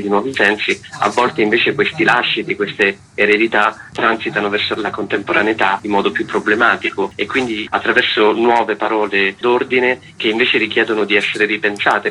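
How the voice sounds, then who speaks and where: Guy with a lowish bright voice doing a radio interview, very formal and wordy, faint noise behind him. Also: low-quality recording. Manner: articulate, wordy, formal intellectual phrasing. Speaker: festival artistic director, interview guest.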